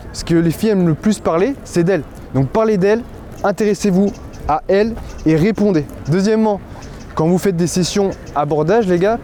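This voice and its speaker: A young man vlogging on a city street, energetic and slangy, stumbling over his words now and then. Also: sits high, slight background noise. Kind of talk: street pickup vlog. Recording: busy street crowd behind.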